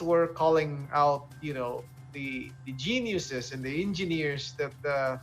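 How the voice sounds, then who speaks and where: A man hosting a current affairs discussion, speaking haltingly and repeating words as he goes. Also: medium pitch, a bit bright, rough recording. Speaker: talk show host. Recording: music bed behind the voice. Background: music.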